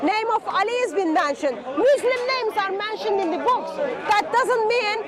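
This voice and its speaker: A woman's voice: very high-pitched, animated, normal speed, heavy background noise. A woman from Turkey, pressing questions in a street debate with phrasing. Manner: persistent questioning, non-native phrasing. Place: outdoor public speaking spot in a park. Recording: loud crowd chatter behind.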